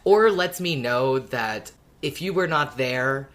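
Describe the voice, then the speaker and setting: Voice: medium pitch, animated, takes it slowly, fairly bright tone. A podcast co-host chatting casually in an informal, wry way. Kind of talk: casual chat.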